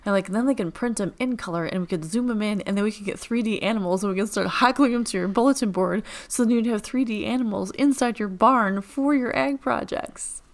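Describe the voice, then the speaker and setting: A woman from Illinois hosting a casual teachers' podcast, chatty and rambling with lots of fillers and quick asides. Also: medium pitch. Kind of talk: casual chat.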